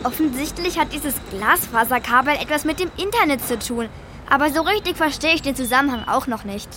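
A woman's voice: sits high, expressive, fairly quick, sharp tone, slight background noise. A woman playing a curious, wary young child detective in a scripted children's audio drama. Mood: curious, puzzled. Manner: inquisitive.

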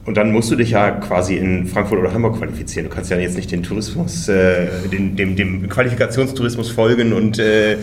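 A man's voice: lowish voice, fairly even intonation, fairly quick, few pauses. A man in his forties hosting a podcast, asking casual questions with hesitant fillers. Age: 40s. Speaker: podcast host. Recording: roomy with faint noise.

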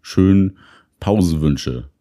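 A man's voice: deep voice, slow pace, dark tone. A man co-hosting a podcast in casual chat, trading dry quips and reflecting on recent weeks. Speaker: podcast co-host.

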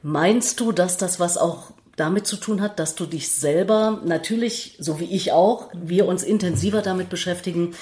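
A woman's voice: on the low side, moderate pace, few pauses, darkish tone, echoey room. A middle-aged woman hosting a personal, conversational interview in a reflective, circling way, adding asides of her own. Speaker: interviewer, host.

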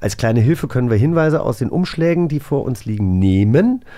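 A man's voice: mid-range voice, lively intonation, moderate pace, warm tone. A man hosting a casual podcast, chatting in an enthusiastic way. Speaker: podcast host. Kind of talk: casual talk show chat.

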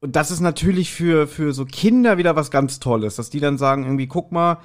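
A man's voice: fairly high pitch, a little fast. A man co-hosting a podcast, explaining his views in a colloquial, casual review chat. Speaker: podcast co-host. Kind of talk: casual review chat about audio dramas.

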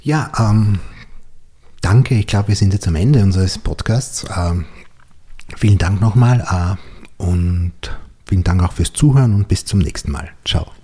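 A man's voice: lowish voice, measured pace, dark tone, breathy edge. A man hosting a podcast interview in a casual conversational style.

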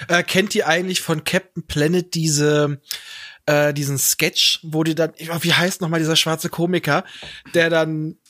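A man's voice: fairly high pitch, flat delivery, measured pace, a bit bright, dry recording, clean studio sound. A man in a casual podcast chat, talking in a nostalgic, joking way.

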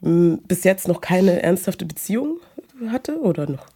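A woman, talking hesitantly about her personal life with many pauses and fillers. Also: fairly low pitch, big pitch swings, dark tone, husky. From Munich, Germany. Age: 20s. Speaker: guest. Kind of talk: personal conversation.

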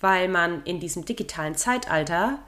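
Explaining woman podcast host, lowish voice. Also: expressive, normal speed, fairly bright tone. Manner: informative, laughs at her own jokes. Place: living in London.

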